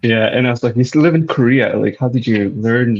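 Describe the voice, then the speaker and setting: A man's voice: mid-range voice, fairly quick. A man co-hosting a casual tennis chat, hesitant and searching for words. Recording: dull lo-fi mic.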